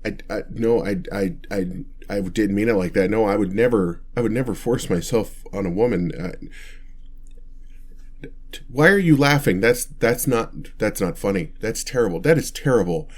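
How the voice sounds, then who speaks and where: A bashful male vampire, acted by a man for an ASMR roleplay, with a low-pitched voice. He stammers and gets flustered, too awkward and kind to be frightening. He speaks straight to the listener in halting phrases. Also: animated, husky. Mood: flustered, defensive. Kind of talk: ASMR roleplay, one-sided dialogue to listener.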